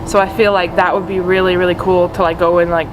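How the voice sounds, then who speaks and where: A woman's voice: on the low side, flat delivery, quick pace. A young American woman chatting casually in an interview, leaning on "like" and repeated intensifiers. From United States. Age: young adult. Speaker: film student interviewee. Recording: clear hum of background noise.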